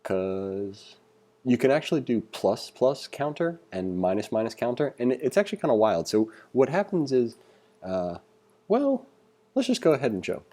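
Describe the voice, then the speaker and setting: A man's voice: medium pitch, big pitch swings, normal speed, dark tone. A man giving a casual screencast tutorial, reading along and thinking aloud in an informal, conversational way as an instructor.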